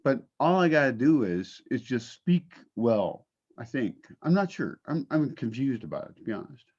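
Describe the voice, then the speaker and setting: A man who does paid narration, talking in a casual, animated, self-deprecating way, swearing casually. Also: mid-range voice, moderate pace, husky, highs cut off. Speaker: narrator and voice talent. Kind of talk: casual conversation.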